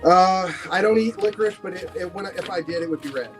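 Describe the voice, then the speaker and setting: A man's voice: sits high, fast, faint music behind. A middle-aged man from Nebraska with a Midwestern accent, a guest answering questions earnestly, often stopping and repeating words as he thinks. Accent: Midwestern American. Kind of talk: casual interview answers.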